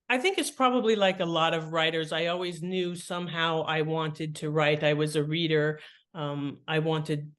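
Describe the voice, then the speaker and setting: A middle-aged American woman speaking as an interview guest, conversational and reflective, often pausing to rephrase as she explains. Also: low-pitched. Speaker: podcast guest, author and editor.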